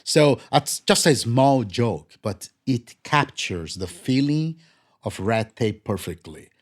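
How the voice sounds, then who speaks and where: A man's voice: medium pitch, big pitch swings, slow. A man whose first language is Portuguese, co-hosting a podcast in a playful, casual way and often affirming his partner. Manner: playful, punny, eager affirmations. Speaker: podcast co-host. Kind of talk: casual chat.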